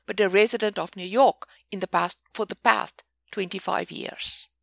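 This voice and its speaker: A woman with a South African accent introducing herself as a podcast guest in a friendly, brisk manner. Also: mid-range voice, fairly even intonation, vocal fry, fairly bright tone, no echo, studio quality. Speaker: business program founder.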